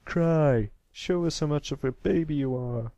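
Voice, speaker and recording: A man's voice: a little high, fairly even intonation, moderate pace, dark tone, clean tone, dry recording, voice pushed up, natural voice. A man voicing a cruel, mocking young colt bully in a storybook reading. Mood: jeering, cruel.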